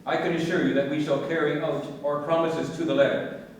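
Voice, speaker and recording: An actor playing an eager, businesslike insurance assessor in a radio crime play, with clipped, reassuring replies. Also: male voice, on the high side, flat delivery, brisk, close to an everyday voice. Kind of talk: radio crime drama acting. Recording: echoey, distant, clearly noisy recording.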